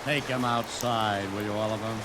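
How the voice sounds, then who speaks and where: An actor in a 1950s radio drama playing a brash, fast-talking male ad man with breezy, slangy salesman patter. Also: lowish voice, steady tone, background noise, natural voice. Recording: vintage radio recording under loud rain.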